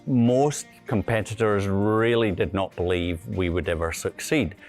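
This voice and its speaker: Low-voiced male founder from Scotland, interview storytelling, light music underneath. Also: measured pace, a little mellow. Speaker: company co-founder. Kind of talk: interview telling a company story. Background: background music.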